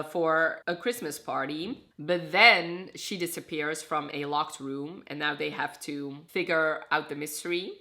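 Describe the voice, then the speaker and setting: A young woman from Iraq talks casually as a book reviewer, summing up plots. Her voice sits low. She often stops and corrects herself mid-sentence. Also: bright, forceful tone. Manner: chatty, self-correcting, summarizing. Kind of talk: casual booktube chat.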